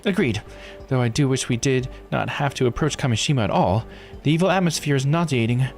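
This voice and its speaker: A man voicing a formal, cautious investigator from a visual novel, reading the dialogue in measured phrases. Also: mid-range voice, darkish tone, voice pushed up, natural voice. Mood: wary, disdainful. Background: music.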